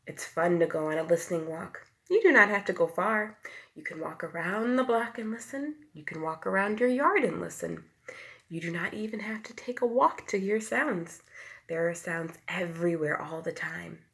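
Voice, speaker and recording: A woman with a fairly low, slightly gravelly voice reads a picture book to preschoolers. Her pitch moves around in a lively way as she plays with sound words. She speaks simply, like a teacher addressing small children. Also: moderate pace. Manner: acts out sound words, dropping to whispers and rising to loud calls; asks the young listeners questions and explains simply. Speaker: pre-K teacher reading aloud. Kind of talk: expressive picture book read-aloud to young children.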